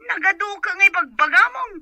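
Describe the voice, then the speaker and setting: A distrustful, nagging wife in an Ilocano-accented radio comedy. Her voice is high and intensely sharp, recorded very dry. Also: expressive, moderate pace, no echo, muffled recording, heavily acted. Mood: suspicious, exasperated. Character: distrustful, nagging wife working away from home. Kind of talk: comedic radio drama acting.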